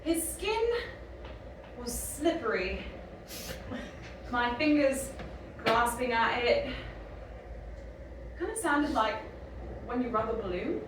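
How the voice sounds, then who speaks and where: A woman's voice: on the high side, sing-song, takes it slowly, pauses often. Woman acting wry young woman, heavily echoing room. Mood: frank, reflective. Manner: casual, wry, confessional. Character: irreverent, frank young human woman, sardonic and curious. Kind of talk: sci-fi audio drama acting and narration. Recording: very echoey, distant, clearly noisy.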